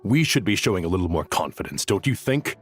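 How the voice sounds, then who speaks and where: An actor playing a stern, decisive senior teacher in a video game, brisk and commanding. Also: male voice, low voice, big pitch swings, slightly rough, soft background music, heavily acted. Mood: chiding.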